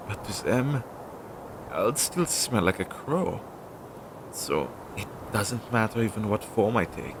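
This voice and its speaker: Slow, low-voiced anxious crow demon familiar, played by a man. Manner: hesitant, chatty, with sighs and trailing asides. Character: anxious, talkative male demon crow familiar, devoted to his witch master. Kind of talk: scripted audio roleplay addressed to the listener. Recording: noisy low-quality home recording.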